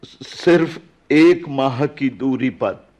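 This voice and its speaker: An actor playing a kindly, fatherly old gardener in a radio play, speaking in a homely, halting way. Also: medium pitch, a little mellow. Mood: kindly, cautious. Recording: dull, muffled radio recording.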